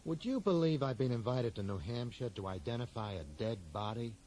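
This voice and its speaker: Actor as curt, reluctant man, very animated delivery. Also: mid-range voice, brisk, lightly acted. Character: Richard, reluctant, irritable American rare-book dealer. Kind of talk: 1980s radio play acting. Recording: noisy old radio broadcast recording.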